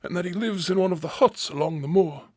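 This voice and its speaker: A man's voice: high-pitched, quick pace, dark tone, dry recording, clean studio sound. An actor in a radio drama playing a helpful, proper manservant, deferential and a little hesitant as he explains.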